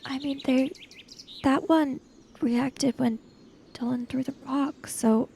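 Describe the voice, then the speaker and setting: High, very creaky woman's voice. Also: fairly even intonation, takes it slowly, mellow, a little hiss or hum. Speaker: player in a tabletop roleplaying game. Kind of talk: casual improvised in-character talk.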